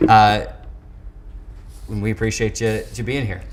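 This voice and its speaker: A man hosting a sports show, speaking in a somewhat halting way with repeated words. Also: fairly low pitch, flat delivery, background noise. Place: studio set.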